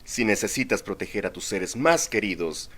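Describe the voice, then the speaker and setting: A man delivers a scripted commercial voice-over. His voice is fairly low, with animated intonation that moves up and down a lot. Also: moderate pace, husky. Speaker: commercial announcer.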